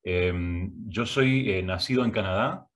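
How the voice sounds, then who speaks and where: A middle-aged man speaks with an Argentine Spanish accent in a somewhat low voice. He talks in a halting way, full of filler sounds and restarts. He is recorded on a webcam in a home office. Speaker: guest speaker, arts education director. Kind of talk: prerecorded video talk. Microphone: webcam, head and shoulders framing.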